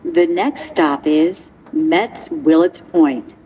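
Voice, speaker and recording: A woman reading scripted train announcements in a measured, segmented way. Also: low-pitched, lo-fi sound, a little hiss or hum.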